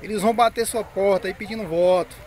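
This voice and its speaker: A man hosts a casual vlog from an outdoor animal market, speaking straight to his viewers in a high voice. He is recorded on a phone, with clear outdoor noise around him. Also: fairly even intonation, fast, a little mellow. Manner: chatty, addresses viewers directly. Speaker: channel host.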